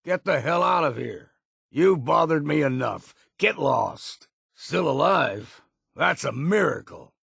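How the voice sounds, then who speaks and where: An actor playing a gruff, weary old hunter in a video game, grumbling and wary in a folksy, plainspoken rustic drawl. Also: male voice, fairly high pitch, animated, slow pace, fairly bright tone, dry recording, studio quality, heavily acted. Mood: stern, disapproving. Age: older. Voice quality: gravelly, husky. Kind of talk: video game character voice lines.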